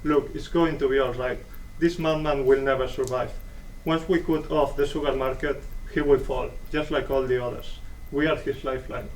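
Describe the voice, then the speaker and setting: A man's voice: medium pitch, fairly even intonation, normal speed, few pauses, lightly acted. A man playing a patronizing, self-assured American embassy official in a dramatic reading of a stage play. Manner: patronizing, formal. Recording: distant microphone, faint room noise.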